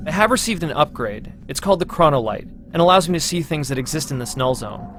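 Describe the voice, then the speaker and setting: A man giving gameplay walkthrough commentary as a producer presenting a demo, in an explanatory, measured manner. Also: a little high, lively intonation, over music. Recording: clear game sound noise behind.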